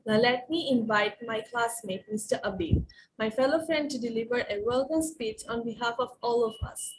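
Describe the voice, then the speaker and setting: Higher-pitched woman hosting a formal ceremony. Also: moderate pace, slight background noise, low-quality recording. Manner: elaborate, ornate welcoming address. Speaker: event host.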